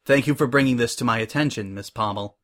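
No-nonsense lady pony boss, read by a male narrator: polite, measured, stern with a kind streak, storybook style. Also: mid-range voice, expressive, fairly bright tone, close to an everyday voice. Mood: gentle.

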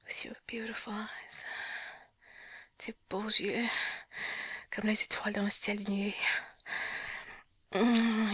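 A woman in an intimate audio roleplay, whispering breathily with soft sighs and slipping into French phrases. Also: fairly high pitch, slightly rough, dry recording.

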